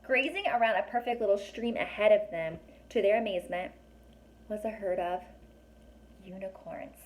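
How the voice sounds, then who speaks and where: A woman reading a children's story aloud, pausing to ask young listeners questions and explain things in a chatty way. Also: mid-range voice, poor audio. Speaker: teacher or reader reading a children's book aloud.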